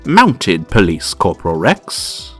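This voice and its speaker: A man's voice: low-pitched, wildly swooping pitch, takes it slowly, a bit bright, slightly rough, faint music behind, heavily acted. A man playing a proper, grumbling, tiny Lilliputian police sergeant in a comic dub. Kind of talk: comic dub character acting.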